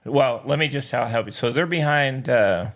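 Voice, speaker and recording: A man's voice: medium pitch, moderate pace. A man co-hosting a sports podcast, chatting casually in a blunt, slangy, teasing way.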